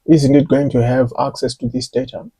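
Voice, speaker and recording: A man's voice: medium pitch, steady tone, normal speed, warm tone, husky, no echo. A man hosting a podcast who asks casual questions hesitantly, with fillers and repeated words. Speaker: podcast host interviewing an AI.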